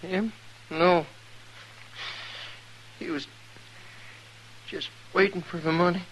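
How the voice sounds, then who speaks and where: Wounded American outlaw, highish voice, weak, halting, remorseful, vintage radio western. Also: male voice, fairly even intonation, a bit bright, poor audio. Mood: pained, weary. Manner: halting, folksy. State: weak, dying. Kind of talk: 1950s western radio drama acting. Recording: vintage radio recording with faint noise.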